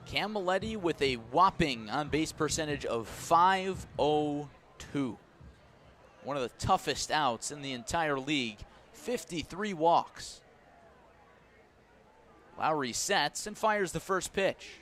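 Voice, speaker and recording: Higher-voiced man calling baseball play-by-play. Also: lively intonation, slow, fairly bright tone. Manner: clipped, descriptive phrasing that rises with the action. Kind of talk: live radio play-by-play commentary. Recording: noisy outdoor ballpark ambience.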